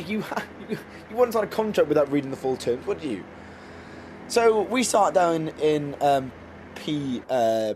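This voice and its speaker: A man's voice: fairly high pitch, darkish tone, slight background noise. A man losing his voice, casually and self-mockingly commentating his own racing game footage with many ums. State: losing his voice, getting ill. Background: background music.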